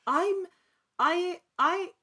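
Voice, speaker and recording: A woman's voice: extremely high pitch, extremely slow, pushed and bright. A woman speaking as a guest in a casual interview, in a wordy, rambling way that often interrupts itself. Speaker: podcast guest, actor and producer.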